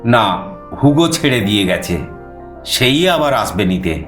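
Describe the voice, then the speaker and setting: A man narrating a suspense story in the first person, theatrical, with dramatic pauses. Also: lowish voice, big pitch swings, a bit bright. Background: music.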